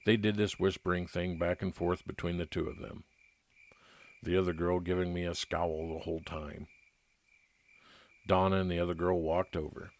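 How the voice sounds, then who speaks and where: A man's voice: low-pitched, level tone, normal speed, pauses often. A man playing a private detective who narrates his own case matter-of-factly in an old-time radio drama style.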